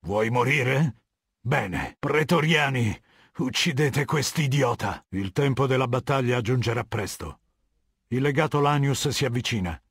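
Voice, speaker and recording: Video game warlord, middle-aged. Husky, imperious, measured authority; dry studio sound. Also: male voice, medium pitch, expressive, dry recording. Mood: curt, angry. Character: cold, commanding middle-aged male warlord of a legion. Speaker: voice actor.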